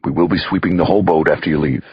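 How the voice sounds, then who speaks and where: Audiobook character played by a male narrator: brash working-class attorney, Brooklyn accent, extremely low, rough voice. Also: very fast, no echo, highs cut off, exaggerated voice. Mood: smooth, firm. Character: brash blue-collar Brooklyn male lawyer, blunt and cocky.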